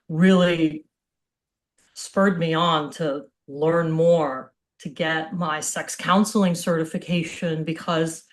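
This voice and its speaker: A middle-aged American woman speaks in a slightly low-pitched voice. She presents as a physical therapist on a video call, keeping things informal, open and conversational. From Hawaii, United States.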